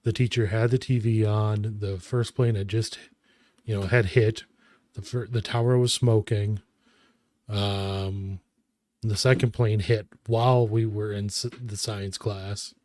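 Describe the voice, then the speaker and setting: Low, mellow-voiced man, dry sound. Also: fairly even intonation, dry recording. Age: 30s. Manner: recounting memories, halting and self-correcting. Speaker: podcast co-host. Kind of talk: casual chat.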